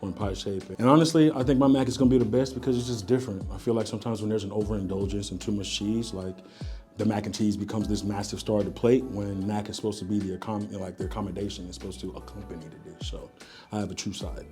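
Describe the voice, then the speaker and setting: A man with a low, mellow voice talks quickly and easily to the camera. He explains things in a loose, relaxed way, with a few fillers along the way. Soft music plays under him. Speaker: contestant, music artist and producer. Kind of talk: casual talk to camera.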